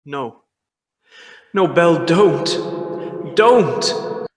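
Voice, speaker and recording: A man's voice: on the high side, animated, drawn-out words. A man playing an anguished, haunted miser in a radio play, theatrical and pleading. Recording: echoey hall reverb, faint music.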